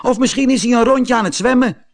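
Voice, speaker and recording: A man's voice: very high voice, brisk, voice pushed up. A man voicing a gang of teasing schoolboys in a storybook. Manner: teasing, jeering. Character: mocking young schoolboys.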